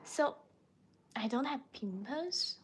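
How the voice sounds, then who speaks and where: Casting: young female livestream host, native Mandarin speaker with Chinese accent, somewhat high pitch, slow informal delivery. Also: lively intonation, a little hiss or hum. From Chongqing, China. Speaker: livestream host, language teacher. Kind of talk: casual livestream chat, replying to viewers.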